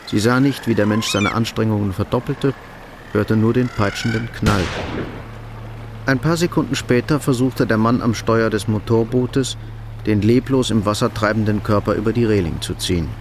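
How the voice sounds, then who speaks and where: A man's voice: on the low side, normal speed, background noise. A man reading scripted literary narration for a radio drama.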